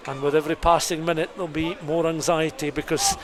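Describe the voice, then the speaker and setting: A man's voice: fairly high pitch, flat delivery, quick pace. A man giving detailed, descriptive live play-by-play rugby commentary for radio. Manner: descriptive, detailed scene-setting. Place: rugby stadium. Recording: crowd noise behind live outdoor commentary. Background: stadium crowd.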